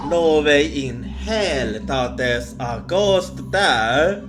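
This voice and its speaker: A man with a slightly high voice tells a ghost story in ASMR style over prominent music. He is a non-native English speaker. His delivery is extremely slow and halting, often repeating words as he goes. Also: animated. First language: not English.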